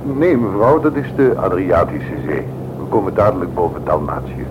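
A polite, practical crewman in a radio play, with a lowish, lively voice. Engine noise runs clearly behind him on the old recording. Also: normal speed, dull audio. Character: courteous, matter-of-fact male airline crewman. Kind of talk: radio drama acting.

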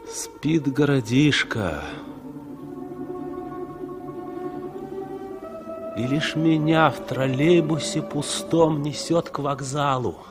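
An actor playing a lonely, disillusioned traveller in a 1960s radio drama, speaking in measured, declamatory phrases. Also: male voice, mid-range voice, lightly acted. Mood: brooding, wistful. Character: weary, disillusioned male traveller, brooding and lonely. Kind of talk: 1960s radio drama acting, verse-like interior monologue. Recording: 1960s radio play recording with music. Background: music.

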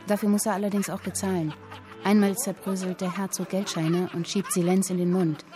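An actress playing a woman who reads a literary tale aloud in a measured, expressive storytelling manner, in a radio drama. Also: lowish voice, music in the background, natural voice. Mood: measured, intent. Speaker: narrator reading a literary account. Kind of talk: dramatic literary narration in present tense.

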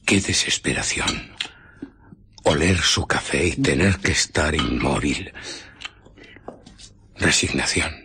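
An actor playing an embittered invisible scientist in a radio drama, recounting furtive exploits with deliberate, dramatic pauses and a breathy voice that slips into whispers. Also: male voice, rumbling low, animated, sharp tone, a little hiss or hum, exaggerated voice. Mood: frustrated, tense. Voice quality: husky, breathy voice slipping into whispers. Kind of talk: radio drama narration and dialogue.

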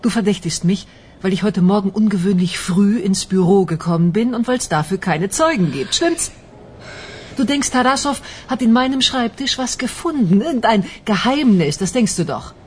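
A woman's voice: mid-range voice, lively intonation, normal speed, slight background noise, natural voice. A woman playing a talkative, defensive middle-aged office worker in a radio crime drama, mocking and easily indignant. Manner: chatty, mocking, indignant when pressed. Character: talkative, defensive female office worker under police suspicion.

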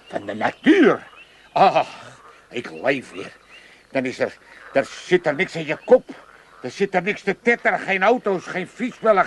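An older actor with an Amsterdam accent playing a pompous, excitable old father who stammers and repeats himself in a comedy radio play. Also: a little high, slight background noise. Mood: blissful, dreamy. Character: blustering, excitable old Amsterdam father, cackling at others' misery.

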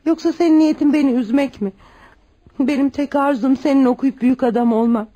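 A woman acting as a self-sacrificing widowed mother in a radio play. Her voice sits fairly high and is soft-edged and mellow, and she pleads with tender, emphatic devotion. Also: a little fast, highs cut off, lightly acted. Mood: anxious, reproachful. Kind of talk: scripted radio drama acting.

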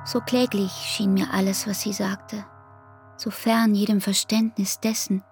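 An actress playing an anguished, devoted young barmaid in an intimate radio play, with speech and a breathy, half-whispered, intense delivery. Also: female voice, mid-range voice, little pitch movement, unhurried, a little mellow, soft background music, lightly acted. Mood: tender, anguished.

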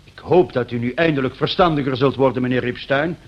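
An actor playing a mild, courteous go-between in a Dutch radio play, formal and coaxing. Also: male voice, mid-range voice, a little fast, muffled recording, lightly acted. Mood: placating, formal. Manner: formal, placating. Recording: noisy old radio recording.

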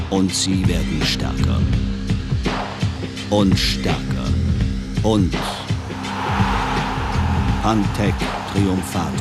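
An actor playing a portentous, emphatic advertising announcer delivering shoe slogans in a radio drama. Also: male voice, low-pitched. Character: portentous, powerful advertising voice selling a sports shoe.

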